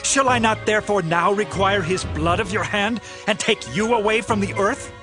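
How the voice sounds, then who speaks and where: A man's voice: high-pitched, moderate pace, over music, lightly acted. An actor playing a stern, righteous king of ancient Israel in a dramatized scripture audiobook, solemn and emphatic. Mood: righteous outrage.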